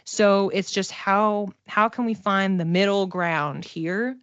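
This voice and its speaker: A woman giving a casual one-to-one card reading as a psychic, talking conversationally and haltingly, circling back over phrases as she thinks aloud. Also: mid-range voice, smooth, no room sound, highs cut off. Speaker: psychic reader.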